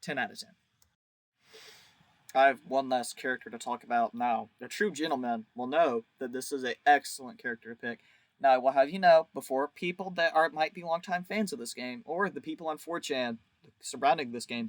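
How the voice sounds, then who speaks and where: A man's voice: medium pitch, brisk, no room sound. A man giving a casual, rambling video review as host, with a crude, jokey, exaggerated way of talking.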